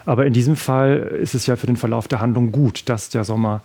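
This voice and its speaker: A man, bilingual with Italian as his first language, answering questions about his debut novel in a measured way, pausing often with fillers. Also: medium pitch, steady tone, mellow, husky. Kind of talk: thoughtful interview answers.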